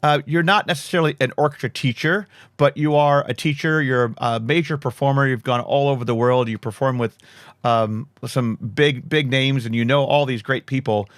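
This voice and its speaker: A man's voice: mid-range voice, fairly even intonation, moderate pace, fairly bright tone, dry recording, clean studio sound. A man hosting a podcast interview, enthusiastic and hesitant, with many fillers. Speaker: podcast host. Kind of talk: casual interview chat.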